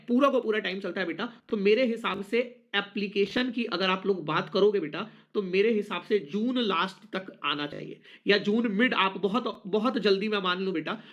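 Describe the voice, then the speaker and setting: A man's voice: sits high, normal speed, hardly any pauses, a bit bright, highs cut off. A young Indian man speaking as an online teacher to his students, informally and emphatically, repeating key phrases and mixing in English words. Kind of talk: informal live lesson addressed to students.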